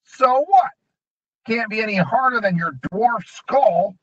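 A man reading an audiobook, voicing a sneering, bullying male goblin gang leader with high, snarling taunts. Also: animated, slow, fairly bright tone, heavily acted. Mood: menacing. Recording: dull lo-fi recording.

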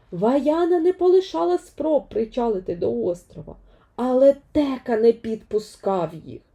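A woman narrating a fairy tale for children, expressively and dramatically, with deliberate emphasis. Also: sits high, warm tone. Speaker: children's storyteller.

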